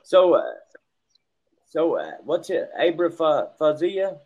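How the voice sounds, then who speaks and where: A man's voice: fairly high pitch, lively intonation, slow, darkish tone, muffled recording. A man co-hosting a casual video chat in a folksy, joking way. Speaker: co-host of a group video chat.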